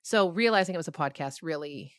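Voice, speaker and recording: A woman's voice: low voice, expressive, fairly quick, clean tone, no echo, studio quality. A middle-aged woman talking reflectively and with animation about her creative work in a conversational interview. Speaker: novelist and podcast creator being interviewed.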